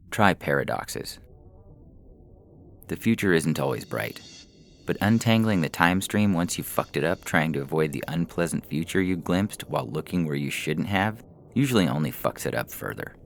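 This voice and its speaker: Male narrator, extremely deep with gravelly fry, deliberate short-story reading over faint music. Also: expressive, a little hiss or hum. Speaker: story reader. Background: faint background music.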